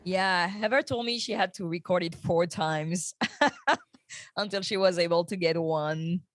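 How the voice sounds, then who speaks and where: Slow-talking woman hosting, dry close sound. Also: fairly low pitch, dry recording. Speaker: podcast host. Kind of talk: casual panel conversation.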